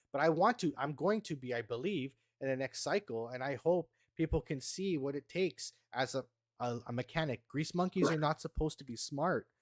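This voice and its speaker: A young man as a podcast guest in casual chat, talking haltingly with many restarts. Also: a little high, no room sound, clean studio sound.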